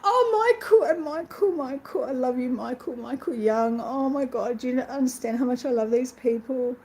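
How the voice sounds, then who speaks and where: Middle-aged woman, live-stream host; mellow tone, rambling and effusive, sniffly and teary. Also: sits high, normal speed. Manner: gushing, emotional, rambling with many restarts. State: tearful and sniffly. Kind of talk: casual live-stream chat.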